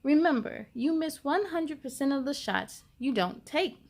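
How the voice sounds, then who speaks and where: A woman's voice: sits high, lively intonation, measured pace, vocal fry, darkish tone, exaggerated voice. Woman reading as an encouraging basketball coach. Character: encouraging male basketball coach, excited and supportive. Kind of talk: children's storybook read-aloud.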